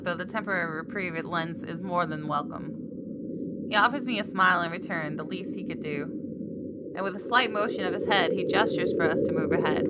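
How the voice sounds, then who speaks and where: A woman gamer reading a visual novel aloud as expressive first-person story narration. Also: lowish voice, heavy background noise. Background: game music.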